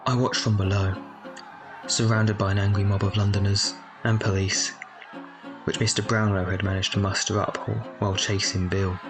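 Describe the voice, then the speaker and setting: Male actor as a low-voiced reflective narrator. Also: flat delivery, moderate pace, natural voice. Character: reflective grown man recounting his orphaned youth. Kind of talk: dramatised first-person narration. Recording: faint music behind.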